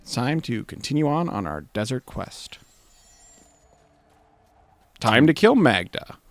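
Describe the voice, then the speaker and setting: A man co-hosting a gaming video with casual, tongue-in-cheek commentary and deadpan explanations. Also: medium pitch, slow pace. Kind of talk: casual bantering game commentary. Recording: faint room noise, game sounds behind.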